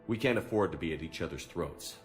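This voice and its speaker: A low-pitched male commander from a game, firm and businesslike. His delivery is terse and controlled, with music underneath. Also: lively intonation, a little fast. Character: male military commander, steady, firm, reassuring. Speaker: voice actor in a video game. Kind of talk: dramatic video game dialogue.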